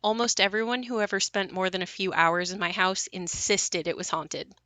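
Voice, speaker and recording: A woman's voice: medium pitch, steady tone, normal speed, bright, forceful tone, no room sound, natural voice. A young woman playing a wry narrator in an audio play, telling of her haunted house in a conversational monologue. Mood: wry, reflective. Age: young adult. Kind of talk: scripted dramatic monologue.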